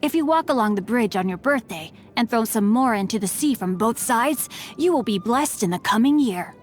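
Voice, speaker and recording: A woman's voice: on the high side, expressive, normal speed, lightly acted. A woman voicing a courteous, bookish teenage boy martial artist in a video game, with refined, eloquent and formal diction. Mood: playful, earnest. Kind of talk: video game character voice lines. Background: music.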